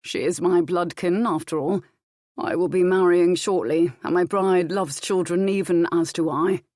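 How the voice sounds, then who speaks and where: A woman voicing a protective royal duke, commanding yet kindly, with measured formal speech in a historical audiobook. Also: lowish voice, steady tone, warm tone, no echo, clean studio sound, close to an everyday voice.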